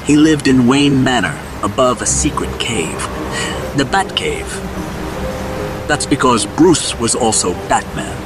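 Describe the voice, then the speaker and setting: A man's voice: medium pitch, animated, measured pace, music in the background. A man narrating a scripted superhero story in a suspenseful, dramatic storybook style.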